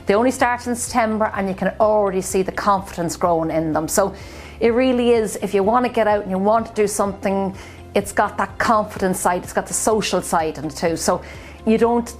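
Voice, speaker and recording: A woman, a guidance counsellor, talking in an encouraging, upbeat way. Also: mid-range voice. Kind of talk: interview.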